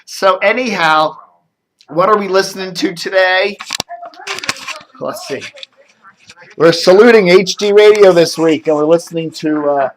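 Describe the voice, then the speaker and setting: A man's voice: high-pitched, unhurried, long pauses, low-quality recording. An American man chatting casually to camera as a video blogger, meandering and thinking aloud. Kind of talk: casual rambling chat to camera. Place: indoors at home.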